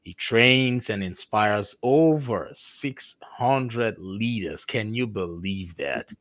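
A man's voice: lowish voice, animated, a bit bright, no room sound. A man from Nigeria with a Nigerian accent, hosting an interview in an effusive manner with many fillers. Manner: effusive, halting with frequent fillers. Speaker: podcast host. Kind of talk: conversational interview.

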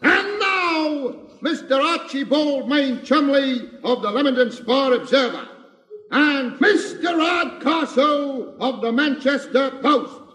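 A man's voice: extremely high pitch, takes it slowly, fairly bright tone, full character voice. Blunt, wisecracking wide boy in old radio comedy, very echoey hall. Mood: announcing. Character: cheeky, rough-spoken male chancer pal. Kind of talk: 1950s British radio comedy acting.

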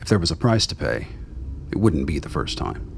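A man's voice: very animated, brisk, a little hiss or hum. A man reading scripted dramatic narration as the storyteller of a radio play. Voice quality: very deep, husky.

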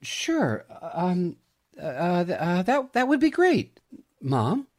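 A timid, wonderstruck storybook daughter , played by a male reader. The voice is raised in pitch, hesitant and full of wonder, with lively rises and falls. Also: takes it slowly, heavily acted. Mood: stammering, awed.

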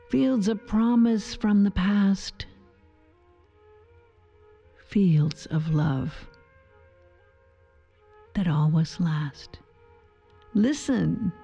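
Slow, creaky-voiced woman guiding meditation. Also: mid-range voice, muffled recording. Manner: soothing, gentle, unhurried. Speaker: guided meditation leader. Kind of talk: guided meditation, slow poetic narration. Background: soft music.

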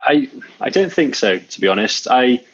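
Easygoing husky man in his thirties. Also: mid-range voice, lively intonation, moderate pace, no echo. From Wales. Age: 30s. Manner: easygoing, laid-back, self-deprecating. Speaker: guest, coach and entrepreneur. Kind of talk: casual interview chat. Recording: dull lo-fi sound.

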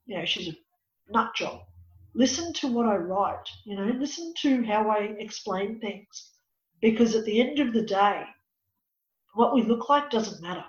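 Raspy-voiced woman in her fifties. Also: medium pitch, lively intonation, a little slow. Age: 50s. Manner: emphatic, preachy, repeats "you know". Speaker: interview guest, life coach. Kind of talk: earnest personal conversation. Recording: echoey, dull lo-fi audio.

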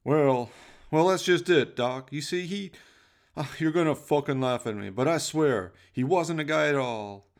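Man doing a flustered police detective, raised pitch. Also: measured pace. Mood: sheepish, baffled. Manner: hesitant, colloquial, sweary. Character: agitated, baffled male American-style police detective. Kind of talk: radio play character acting.

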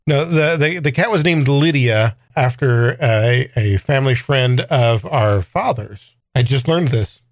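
A man's voice: medium pitch, measured pace, hardly any pauses, no echo, clean studio sound. A man talking casually as a player in a tabletop game, improvising what his character does.

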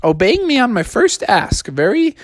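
A man voicing a strict, prim frog mother in a high falsetto. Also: sing-song, moderate pace.

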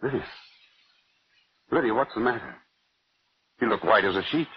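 Radio-drama doctor: low-pitched, calm, solicitous confidant, muffled old recording. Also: male voice, normal speed, slightly rough. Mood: soothing, concerned. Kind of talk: 1940s radio acting. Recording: muffled, faintly noisy vintage radio recording.

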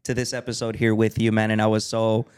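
A man's voice: on the low side, completely flat delivery, fast, darkish tone, clear, resonant tone. A man hosting a podcast, introducing his guest eagerly with frequent fillers. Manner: enthusiastic, many fillers, reads the guest's bio aloud. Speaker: podcast host. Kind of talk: casual podcast interview.

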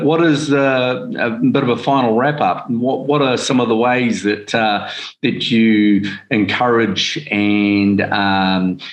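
A man hosting a business interview, asking friendly questions in a halting way with many restarts. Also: fairly low pitch, poor audio. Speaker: interviewer, media host.

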